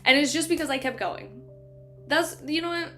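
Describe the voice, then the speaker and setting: A woman's voice: high-pitched, lively intonation, normal speed, sharp tone, faint music behind. A woman casually chatting and reading a novel aloud. She laughs often, uses many fillers and still stutters on some words. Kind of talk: casual chat between passages of a novel read aloud.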